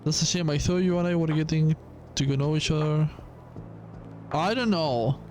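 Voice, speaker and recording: High-pitched man commentating on a game. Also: moderate pace, a little mellow. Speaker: gaming video host. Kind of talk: casual game playthrough commentary, reading dialogue aloud. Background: music behind the voice.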